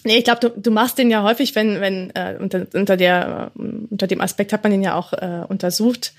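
A woman's voice: medium pitch, fairly even intonation, fairly quick. A woman co-hosting a podcast, chatting casually about gestures, laughing often and using many fillers. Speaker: podcast co-host.

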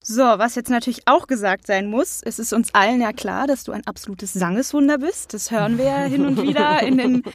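Woman interviewer, chatty and casual, slightly high voice, very dry recording. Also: brisk, few pauses, no room sound. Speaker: interviewer, host. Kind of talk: casual, friendly interview questions.

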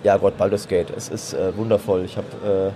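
A man's voice: deep voice, little pitch movement, brisk, mellow, a little hiss or hum. A man in his thirties chatting casually and playfully as a games journalist on a trade fair floor, with many fillers and restarts. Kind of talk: casual chat.

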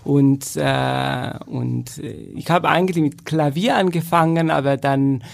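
Italian guy speaking german, bit higher voice, gravelly, lots of ums. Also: measured pace. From Italy. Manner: hesitant, many fillers. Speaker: cellist and festival founder. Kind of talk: casual podcast conversation.

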